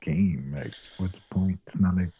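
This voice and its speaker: A man's voice: deep voice, moderate pace, muffled recording, crowd noise. A laid-back man in casual gaming chat, mostly giving short replies.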